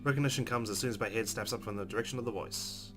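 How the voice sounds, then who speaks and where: A man's voice: fairly low pitch, quick pace. A man playing a visual novel, reading the narration aloud expressively with casual, wry asides over game music. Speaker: let's player. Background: game music with guitar.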